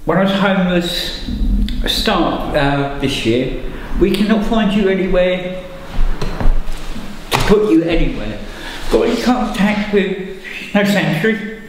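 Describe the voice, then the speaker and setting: Man, 50s, slow and plain-spoken, fairly high voice, echoey room. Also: expressive. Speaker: hostel resident. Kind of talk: documentary interview. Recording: echoey room with faint noise.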